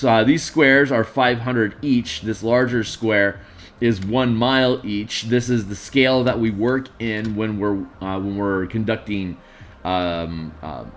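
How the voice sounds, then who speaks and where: A man with a tired, gruff voice streaming casually, rambling through tabletop game design with lots of fillers. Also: on the low side. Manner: rambling, many fillers and restarts. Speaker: streamer and map artist.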